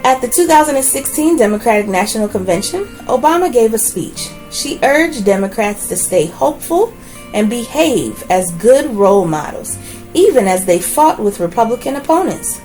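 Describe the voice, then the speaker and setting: Woman reading aloud with lively pitch. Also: moderate pace, faint music behind. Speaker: read-aloud host reading a children's biography. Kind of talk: read-aloud narration of a children's book with brief asides.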